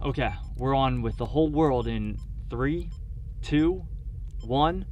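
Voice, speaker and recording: An actor playing a sardonic space scientist in an audio drama, dry and deliberate. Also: male voice, mid-range voice, mellow, dull audio, slight background noise, lightly acted. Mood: dry, sarcastic. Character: sardonic male space scientist on a corporate station.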